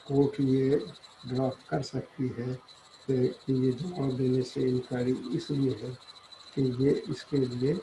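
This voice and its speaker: A man giving an explanatory law lecture, halting and deliberate, reading out formal passages and switching between languages to paraphrase them. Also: on the high side, creaky, mellow, a little hiss or hum, poor audio.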